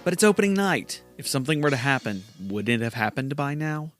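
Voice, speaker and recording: A man playing a dry, gently chiding boyfriend in an audio drama. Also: mid-range voice, big pitch swings, fairly quick, faint music behind. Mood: teasing, patient. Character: patient, teasing boyfriend of a sulking hero.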